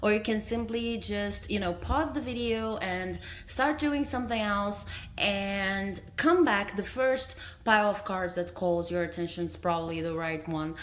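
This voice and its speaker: A woman's voice: medium pitch, measured pace. A woman from Brazil with a Brazilian Portuguese accent, a tarot reader talking casually to her viewers and often asking "okay?", recorded on a phone indoors. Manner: friendly, tags sentences with "okay?", laughs between phrases. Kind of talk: casual talk to camera.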